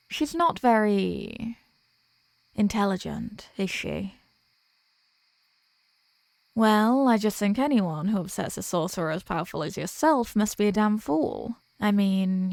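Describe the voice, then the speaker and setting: A woman's voice: mid-range voice, darkish tone, no echo, natural voice. A woman playing a flirtatious female archdemon in a roleplay audio drama, with a coy, smug and teasingly formal manner. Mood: teasing, intrigued.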